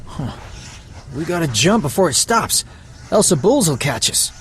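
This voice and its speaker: An actor playing a brash, streetwise young drifter in an audio drama, blunt and needling. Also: male voice, fairly high pitch, very animated, normal speed. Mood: alert.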